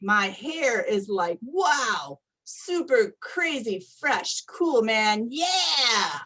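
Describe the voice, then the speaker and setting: A woman reading picture books aloud to young children, expressive and deliberate, often putting questions to her listeners. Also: on the high side, husky. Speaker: storytime reader for young children. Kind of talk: animated picture-book read-aloud to children.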